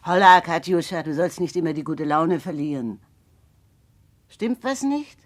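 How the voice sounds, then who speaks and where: Radio play companion: coarse, coaxing, teasing, slow drawling delivery. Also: female voice, deep voice, expressive. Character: coarse, teasing, cajoling drinking companion of a prisoner. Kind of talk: 1960s German radio drama acting. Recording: 1960s radio drama recording.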